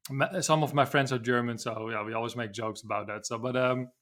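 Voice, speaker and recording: A man's voice: medium pitch, steady tone, a little fast, a bit bright. Dutch man, hesitant and laughing. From Netherlands. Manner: hesitant, many fillers and restarts, laughs often. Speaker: guest. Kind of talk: casual interview answers.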